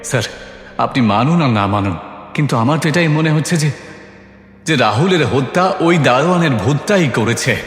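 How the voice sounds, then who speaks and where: Man voicing a higher-pitched nervous male teacher. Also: expressive, moderate pace, lightly acted. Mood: uneasy insistence. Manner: anxious, deferential questioning. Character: nervous male maths teacher, haunted by guilt. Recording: echoey, long reverb.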